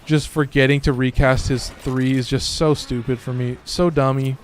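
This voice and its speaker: A man with a slightly hoarse, raspy voice, giving loose and profane casual commentary while streaming a game. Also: mid-range voice, flat delivery, normal speed, slight background noise. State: a little hoarse. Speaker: gaming streamer.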